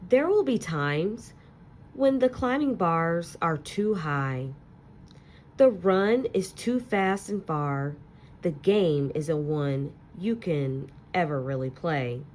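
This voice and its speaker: A woman teacher reading a children's picture book aloud with gentle, expressive storytelling and soft asides to young listeners. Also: low voice, a little hiss or hum.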